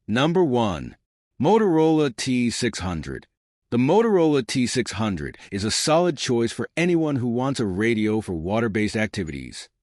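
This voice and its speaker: A man's voice: medium pitch, dry recording, clean studio sound. A man reading scripted narration for a product review, as the narrator of a buying guide.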